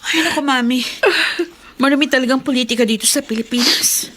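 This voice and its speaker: A woman's voice: sits high, fairly quick, a bit bright. A woman playing a loyal, reassuring daughter in a radio drama, speaking in an earnest and encouraging way. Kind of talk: Tagalog radio drama acting.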